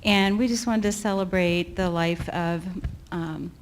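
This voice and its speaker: A woman in a congregation sharing a family remembrance, soft-spoken and hesitant. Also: lowish voice, steady tone, measured pace. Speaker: congregation member. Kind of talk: sharing at a church service.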